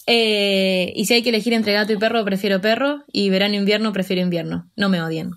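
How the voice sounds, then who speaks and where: A woman's voice: mid-range voice, steady tone, quick pace. A woman in her twenties from Argentina with an Argentine Spanish accent, casually telling her own story with fillers and laughs. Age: 20s. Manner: chatty, self-deprecating, with fillers and laughs. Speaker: interview guest.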